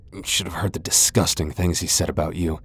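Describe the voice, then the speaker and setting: A man's voice: sits low, steady tone, moderate pace, breathy edge. A man playing a proud, sardonic vampire lord in a fantasy ASMR roleplay, with a dry, sighing delivery. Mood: dry, disdainful. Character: proud, sardonic male vampire lord, cornered yet defiant. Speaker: voice actor in a roleplay audio.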